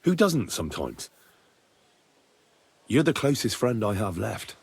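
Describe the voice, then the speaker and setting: An actor playing a loyal young blacksmith turned page in a medieval video game, earnest and plain-spoken. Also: male voice, fairly low pitch, big pitch swings, measured pace, gravelly, darkish tone, husky, exaggerated voice. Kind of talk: video game cutscene acting.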